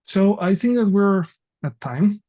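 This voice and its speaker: Halting man presenting an online workshop. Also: high-pitched, lively intonation, dark tone, dull audio. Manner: halting, many fillers and restarts.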